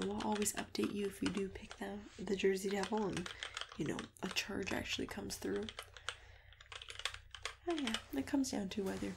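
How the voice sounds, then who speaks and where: Female ASMR performer as a friendly matchmaker: hushed, creaky, reassuring, slipping into whispers, keyboard clicks and noticeable hiss behind. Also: medium pitch. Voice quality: soft, breathy, often dropping to a whisper. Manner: gentle, chatty, hesitant, reassuring. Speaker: ASMR host playing a matchmaker. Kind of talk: ASMR roleplay, soft-spoken with whispering. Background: computer keyboard typing and clicks.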